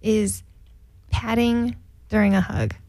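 A woman's voice: medium pitch, fairly even intonation, slow pace, warm tone, dry recording. A woman co-hosting a casual radio chat show, reading listener emails and laughing often.

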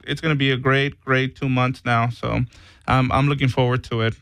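A man's voice: mid-range voice, flat delivery, normal speed, vocal fry, a bit bright, no echo. A man co-hosting a sports radio show, talking casually with lots of fillers and restarts. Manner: hesitant, many fillers and repeated words.

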